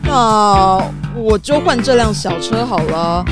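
A woman's voice: mid-range voice, animated, slow pace. A woman with a Taiwanese accent playing a sheepish, defensive male customer at a car rental in a children's radio drama, hesitant and childlike. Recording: music under the voice.

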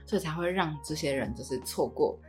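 Young woman, Taiwanese Mandarin accent, low register, chatty podcast co-host, light music behind. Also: animated, brisk. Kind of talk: casual podcast chat.

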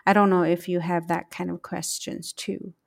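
A middle-aged woman with a Taiwanese accent hosting a solo reflective podcast, speaking in a deliberate, emphatic way. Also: lowish voice, level tone, creaky. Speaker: podcast host, English teacher.

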